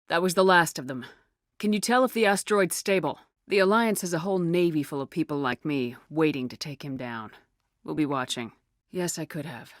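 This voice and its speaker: Woman acting a low-voiced, tough female space commander. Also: no room sound, studio quality, lightly acted. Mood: firm, resigned. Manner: terse, commanding, controlled. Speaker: video game lead character. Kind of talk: video game dialogue acting.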